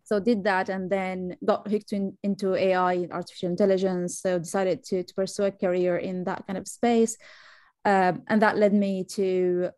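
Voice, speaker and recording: A woman's voice: medium pitch, little pitch movement, moderate pace, darkish tone, dry recording. A woman from Syria introducing herself informally as a panelist, with light laughs as she talks. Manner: laughs lightly while talking. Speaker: panelist, AI startup founder.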